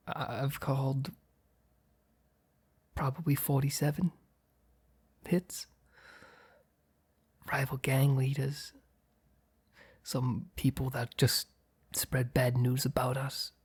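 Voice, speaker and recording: A very husky, breath-heavy mob boss acted by a man in an intimate ASMR boyfriend roleplay. He speaks slowly and haltingly, often close to a whisper. He is blunt and ruthless, yet easily flustered around his partner. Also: mid-range voice. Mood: confessional, cold. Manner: halting, sighing, blunt, swearing.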